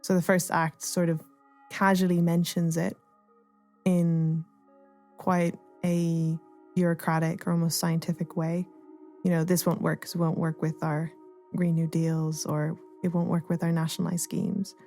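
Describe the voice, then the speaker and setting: A woman's voice: fairly low pitch, little pitch movement, a little slow, warm tone, soft background music. A woman speaking thoughtfully and tentatively as an artist explaining their work in a reflective interview. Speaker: artist and playwright being interviewed.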